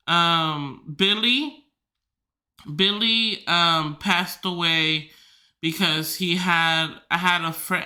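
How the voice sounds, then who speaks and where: A man hosting a podcast who tells rambling stories loudly, swearing freely and filling his talk with "like". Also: on the high side. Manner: loud, swears freely, filler-heavy rambling. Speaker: podcast host. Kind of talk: casual storytelling chat.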